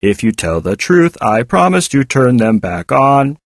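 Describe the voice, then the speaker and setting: Man voicing a taunting female machine, hard robotic tone, very slow, mock-sweet malice, audiobook villain, close dry sound. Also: medium pitch, very animated, no echo, pitched above the performer's own voice. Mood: mock sincere. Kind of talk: audiobook character voice.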